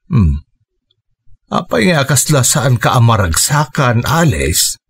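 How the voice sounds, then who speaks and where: A man playing a gentle, forgiving middle-aged father with a husky voice, acted for Ilocano radio drama with a Filipino accent. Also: mid-range voice, big pitch swings, takes it slowly, full character voice. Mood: puzzled, tender.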